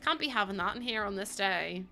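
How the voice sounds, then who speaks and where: A woman's voice: medium pitch, animated, brisk, soft background music. A woman livestreaming, chatting casually with her viewers and laughing often. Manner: chatty, laughs often, greets chat warmly. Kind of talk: casual chat with stream viewers.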